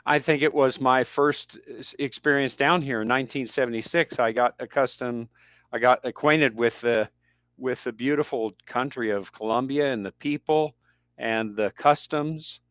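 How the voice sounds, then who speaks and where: Older american guy talking about teaching languages, smooth resonant voice, dry sound. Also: medium pitch, moderate pace, fairly bright tone, no room sound. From United States. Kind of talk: interview conversation.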